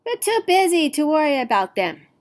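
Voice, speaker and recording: A woman's voice: high voice, very animated, normal speed, voice pushed up. A woman voicing an eager, determined eight-year-old inventor girl in a storybook, with earnest emphasis. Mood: reassuring.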